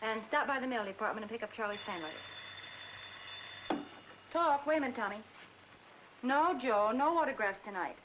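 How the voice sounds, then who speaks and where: An actress playing a brisk, wisecracking American career woman in a 1940s film comedy. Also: fairly high pitch, expressive, a little hiss or hum, natural voice. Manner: snappy, dry. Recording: muffled 1940s film soundtrack with music.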